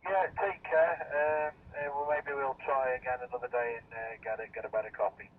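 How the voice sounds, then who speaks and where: A man's voice: mid-range voice, fairly even intonation, a little fast. A man comes through a CB radio, thin, narrow-band and distant, with a little noise. He speaks casually and politely in a hesitant, apologetic way, as an operator on a two-way link.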